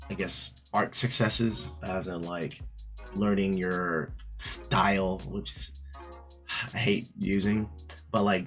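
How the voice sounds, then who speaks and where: A man reviewing an art book in a casual vlog, his voice raspy from just waking up, rambling with many fillers and restarts. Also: low voice. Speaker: art YouTuber. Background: soft background music.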